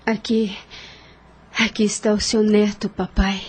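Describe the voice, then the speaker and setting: An actress in an audio drama playing a weak, dying young mother, tender but resolute, speaking haltingly with a breathy, faint voice. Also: medium pitch, little pitch movement, a little mellow. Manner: halting, slow, earnest.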